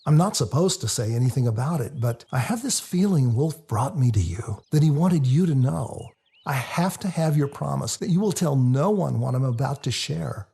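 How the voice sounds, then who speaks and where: A man reading a novel aloud as a first-person dramatic narrator, in a confessional, expressive storytelling manner. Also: mid-range voice, normal speed, gravelly, slightly rough. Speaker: author reading their own novel. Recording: music under the voice. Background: music.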